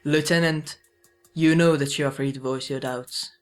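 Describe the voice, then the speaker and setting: Casting: performer as stern secret-agency commander, mid-pitched, formal and measured, anime audio drama with music underneath. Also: fairly even intonation, natural voice. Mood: firm, composed. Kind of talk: fan-made anime audio drama acting.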